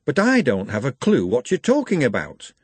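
A man's voice: medium pitch, huge pitch swings, moderate pace, breathy edge, dry recording. A man voicing a put-upon, grumbling wizard doctor who sounds aggrieved, in a fantasy audiobook. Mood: puzzled. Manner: aggrieved, complaining.

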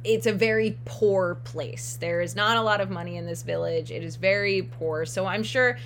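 A woman's voice: medium pitch, fairly even intonation, normal speed, a bit bright, slight background noise. A young woman co-hosting a casual true-crime podcast. She teases her co-host, giggles a lot and stumbles over words while reading her notes.

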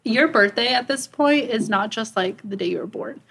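A woman's voice: on the high side, normal speed, creaky, fairly bright tone, slightly rough. A young adult woman hosting a podcast, leading a casual chat and laughing often. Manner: upbeat, laughs often, leads the conversation. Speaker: podcast host.

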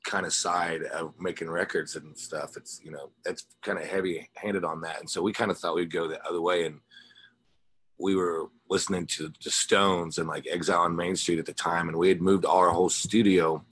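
A man's voice: sits low, flat delivery, normal speed, a bit bright, slightly rough, no echo. An American man from the Ozarks chatting casually as a musician being interviewed, in a rambling, slangy, informal way. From Ozarks, United States. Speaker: interview guest, rock musician.

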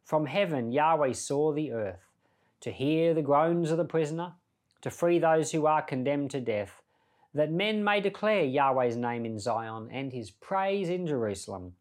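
A man's voice: fairly high pitch, lively intonation, unhurried. A man speaking as a pastor, reading and explaining scripture in an earnest, conversational, explanatory way. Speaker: pastor and Bible podcast host. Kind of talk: scripture reading and informal Bible commentary.

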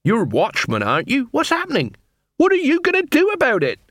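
A man's voice: very high-pitched, wildly swooping pitch, brisk, a bit bright, dry recording, clean studio sound, pitched above the performer's own voice, full character voice. A man voicing a bossy, whining old beggar queen with rustic, ungrammatical speech in a story. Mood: demanding.

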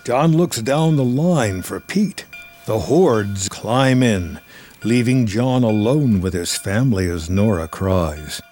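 A man's voice: on the low side, lively intonation, slow pace, darkish tone, soft background music. A man narrating a film script in a scripted storytelling style.

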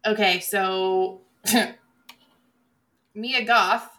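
A woman's voice: medium pitch, steady tone, drawn-out words, sharp tone. A woman co-hosting a podcast in casual chat, with dry, offhand humour. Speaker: co-host of a horror movie podcast.

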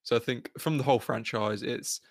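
A man chatting on a podcast, building up jokes in a deadpan way. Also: medium pitch, fairly quick, gravelly, no echo, clean studio sound. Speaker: podcast guest. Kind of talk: casual joking chat.

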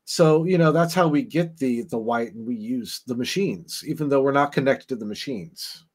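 A man's voice: medium pitch, normal speed. Hesitant man rambling through a theory. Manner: rambling and hesitant, many fillers and restarts. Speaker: podcast guest. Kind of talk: casual discussion of game lore.

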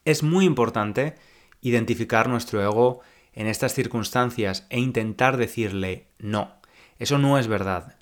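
A young man from Spain with a Spanish accent, hosting a podcast lesson for learners and speaking slowly and clearly like a teacher. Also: medium pitch. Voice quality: slow, clear teacher speech.

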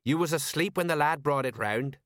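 Man voicing a colloquial, self-important police sergeant, high-pitched. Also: fairly quick, a bit bright, no echo, studio quality, close to an everyday voice. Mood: cheerful. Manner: colloquial, uneducated grammar. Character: simple, amiable, pompous male police sergeant.

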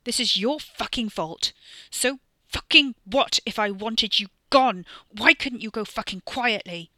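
Woman doing a bitter, shouting young man. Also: mid-range voice, a little slow, no room sound. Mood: furious. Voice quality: raw and shouting.

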